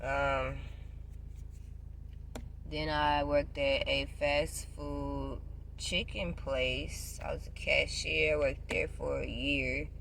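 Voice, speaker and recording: A woman in her twenties chatting casually to camera, giving job advice, loud and playful and repeating herself often. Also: deep voice, little pitch movement, drawn-out words, gravelly, slight background noise. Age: 20s. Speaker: vlogger.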